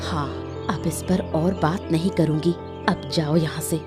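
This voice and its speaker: Woman acting stern, slow-spoken household woman. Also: low-pitched, steady tone, over music. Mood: stern, reproachful. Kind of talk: cartoon moral story dialogue.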